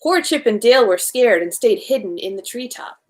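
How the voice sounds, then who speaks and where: Female storyteller, higher-pitched voice, informal read-aloud of a children's bedtime tale, slightly unpolished delivery. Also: lively intonation, normal speed, a little mellow.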